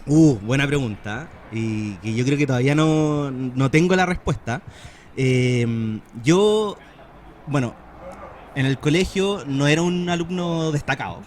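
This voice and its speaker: A man's voice: mid-range voice, unhurried, slight background noise. Chilean man chatting casually on a podcast. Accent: Chilean Spanish. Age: 30s. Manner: relaxed and chatty, with frequent fillers and slang. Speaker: podcast guest. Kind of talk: casual conversation.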